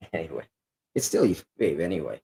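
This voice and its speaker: A man's voice: low voice, very animated, moderate pace, vocal fry, mellow, slightly rough. A man with a Filipino accent, whose first language is Kapampangan, co-hosting a casual livestream, reading viewer comments aloud and laughing often. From Philippines. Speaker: livestream co-host.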